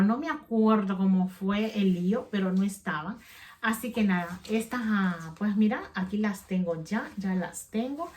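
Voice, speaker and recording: A woman's voice: medium pitch, darkish tone. Chatty woman vlogging. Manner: rambling, chatty, self-correcting; addresses viewers directly. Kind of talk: casual chat to camera.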